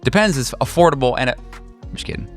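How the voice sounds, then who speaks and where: A man speaking as game master and host of a tabletop horror game, in a halting, informal way with jokey asides. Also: mid-range voice, lively intonation, soft background music. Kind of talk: narrating a tabletop horror game, casual banter.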